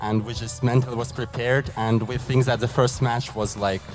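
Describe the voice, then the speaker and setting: A man's voice: mid-range voice, flat delivery, moderate pace. Guy translating for a team, casual loose english, music behind him. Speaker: interpreter.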